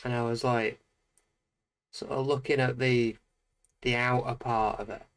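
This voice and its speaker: A Northern English man chatting on a drawing livestream, his voice croaky and a bit deeper than usual after a slight hangover. He sounds laid-back and easygoing, chuckling between remarks as he draws. His pitch sits in a plain middle range. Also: unhurried. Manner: relaxed, chatty, laughs often. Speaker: streamer. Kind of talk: casual livestream chat while drawing.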